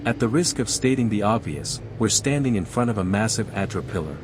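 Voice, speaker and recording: An actor playing a calm, frank male adventurer in a video game. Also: fairly low pitch, fairly quick, lightly acted. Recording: clear background noise.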